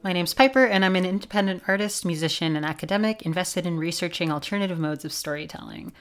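Low-voiced woman radio co-producer. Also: fast. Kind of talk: scripted self-introduction.

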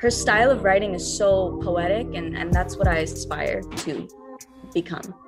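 A woman's voice: fairly low pitch, normal speed, over loud music. A young woman, an R&B singer-songwriter, answering interview questions in a thoughtful, earnest way, with many fillers and restarts.

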